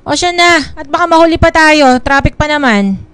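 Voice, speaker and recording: Radio drama mother: brisk, snobbish, scolding, highish and very animated. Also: soft background music. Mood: brisk, hurrying. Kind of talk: Filipino radio drama acting.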